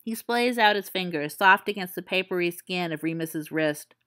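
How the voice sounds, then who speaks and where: A woman reading fan fiction aloud as an audiobook, in a calm, measured, soft manner. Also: fairly low pitch. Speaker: podfic reader.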